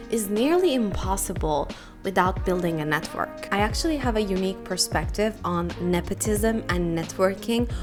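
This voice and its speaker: A young woman from Turkey hosting her own podcast, talking candidly and emphatically in a solo monologue. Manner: candid, emphatic, stresses words with rising pitch. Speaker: podcast host.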